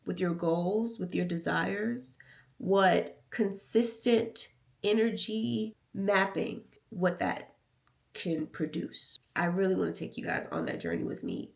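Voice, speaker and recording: An American woman chatting casually and candidly to her vlog audience, playful and emphatic, with a slightly raspy, nasal voice. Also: lowish voice, big pitch swings, slow pace. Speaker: vlogger. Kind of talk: casual confessional vlog chat.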